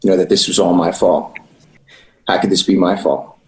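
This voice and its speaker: A man's voice: low-pitched, steady tone, normal speed, lightly acted, poor audio. A man acting in a table read as a bewildered newcomer boy who keeps asking questions. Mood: troubled.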